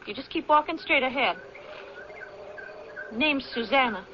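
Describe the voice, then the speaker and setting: High-voiced tough mountain girl. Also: expressive, unhurried. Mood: guarded, commanding. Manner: curt, commanding, folksy rural grammar. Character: tough, suspicious young mountain girl with a rifle. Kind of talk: radio drama acting. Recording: muffled, noisy old radio broadcast.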